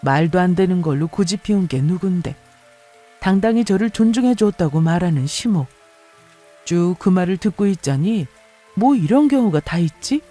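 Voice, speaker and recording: A woman's voice: fairly low pitch, animated, moderate pace, dark tone, soft background music. A woman reading a scripted first-person story as a narrator.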